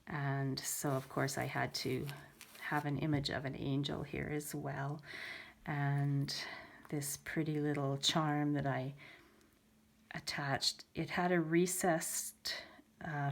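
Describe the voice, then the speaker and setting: A middle-aged woman with a stuffy head-cold voice, sitting low in her range. She talks slowly and gently, trailing off and restarting as she shows her crafts. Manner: soft, unhurried, with many fillers and restarts. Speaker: crafter showing a handmade journal. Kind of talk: casual show-and-tell vlog.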